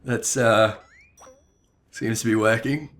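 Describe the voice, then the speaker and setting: A man's voice: lowish voice, steady tone, slow pace, a bit bright. An amused man giving casual, chatty commentary on a video game. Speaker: gameplay commentator and dialogue reader. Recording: music behind the voice.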